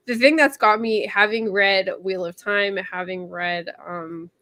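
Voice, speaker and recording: A woman with a mid-pitched voice talks casually as a discussion host. Her delivery is chatty and informal, peppered with "like". The sound is dry, with hardly any room around it. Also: fairly even intonation, measured pace, sharp tone, no room sound. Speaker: book club livestream host.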